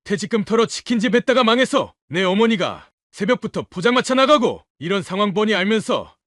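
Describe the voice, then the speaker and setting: A man's voice: high-pitched, big pitch swings, brisk, a bit bright, no room sound, clean studio sound. An actor playing a bitter, wounded old widowed father in an audio drama, reproachful and emphatic in his questioning.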